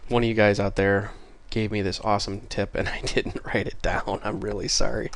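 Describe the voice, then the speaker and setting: Low-voiced, slightly stuffy man teaching casually. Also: level tone, normal speed. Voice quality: slightly congested, stuffy nose. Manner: informal, apologetic, thinking aloud. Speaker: programming tutorial host. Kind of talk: casual screencast tutorial. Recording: clear keyboard and mouse clicking noise. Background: typing and mouse clicks.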